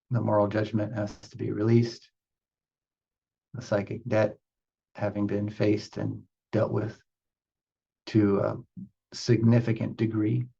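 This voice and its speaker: A man giving reflective spiritual teaching as a meditation teacher, speaking in halting, deliberate phrases with pauses for emphasis. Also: on the low side, gravelly, dark tone, breathy edge, dull audio.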